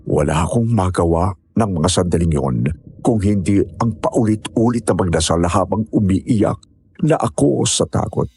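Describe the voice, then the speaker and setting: Low-voiced man narrating horror. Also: lively intonation, normal speed, a little mellow, breathy edge. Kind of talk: first-person horror story narration.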